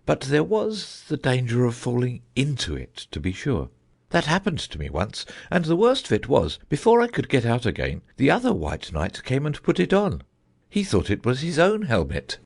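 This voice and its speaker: A man playing an old knight, solemn, dreamy, rambling, husky, wildly lilting, storybook. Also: normal speed, heavily acted. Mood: musing. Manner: rambling, thoughtful, lively pitch swings.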